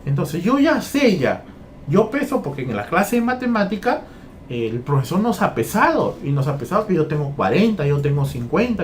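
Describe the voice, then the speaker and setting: A man's voice: a little high, a little hiss or hum. A man from Peru training teachers, walking through a lesson plan and repeating phrases as he goes. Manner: repeats phrases, keeps asking "¿ya?".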